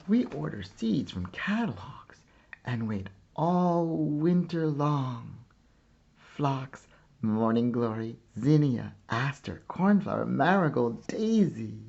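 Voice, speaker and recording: A man reading a children's picture book aloud like a teacher, with deliberate, expressive phrasing and pauses. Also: mid-range voice, gravelly, husky, muffled recording. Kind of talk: slow children's storybook read-aloud.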